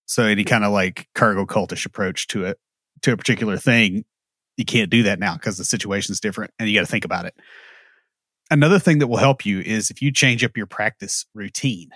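A man with a lowish voice talking through ideas in a measured, explanatory way, dropping in wry side comments. The sound is close and dry, with no room echo. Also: fairly bright tone. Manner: thoughtful, explanatory, occasional dry asides. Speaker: podcast co-host. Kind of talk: casual conversation.

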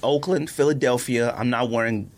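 A man's voice: medium pitch, normal speed, a little hiss or hum. An American man in casual podcast debate, speaking emphatically in slangy, informal language and repeating himself to drive points home. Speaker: podcast co-host. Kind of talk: casual sports debate between friends.

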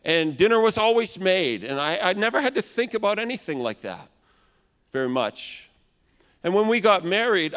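A man in his thirties preaching a sermon in a loud, big voice, now and then acting out complaining customers. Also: sits high. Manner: acts out complaining customers in raised voice. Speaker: pastor. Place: large church hall. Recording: long hall reverb.

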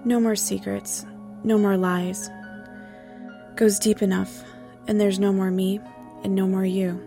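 A woman's voice: mid-range voice, little pitch movement, unhurried, creaky, dark tone, soft background music. A woman voicing a guarded, troubled young telepath in an audiobook, speaking tersely and earnestly. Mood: somber, confiding. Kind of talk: audiobook character dialogue.

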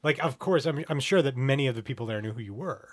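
A man's voice: medium pitch, expressive, fast talker. A man hosting a podcast, asking casual interview questions. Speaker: podcast host.

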